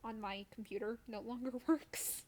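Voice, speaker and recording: A woman's voice: fairly high pitch, steady tone, moderate pace, a little mellow. A woman chatting casually while playing a video game on a stream, playful and dryly whimsical. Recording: clear background noise.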